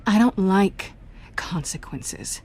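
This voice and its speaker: A woman's voice: medium pitch, expressive, slow pace, dark tone, slight background noise. An actress voicing a sly, self-assured young woman thief in a cinematic video game, teasing and sardonic. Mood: cool, threatening.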